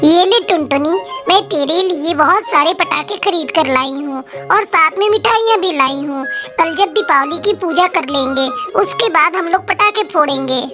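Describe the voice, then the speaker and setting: A poor mother crow frets and scolds over her daughter, voiced by a woman. The voice sits very high. Cheerful children's music runs behind. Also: moderate pace, few pauses. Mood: gentle. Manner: anxious, scolding, protective.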